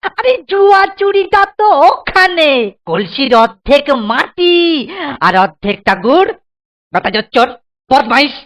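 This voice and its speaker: A woman voicing an excitable, scheming male village grocer in a cartoon comedy, blustering, stammering and repeating words. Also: sits high, measured pace. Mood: aggrieved. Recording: background music under the voice.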